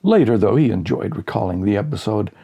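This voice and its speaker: A man reading a humorous story aloud as scripted narration, deadpan and suspenseful, with dramatic pauses. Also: on the low side, vocal fry, slightly rough, muffled recording. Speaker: audiobook reader.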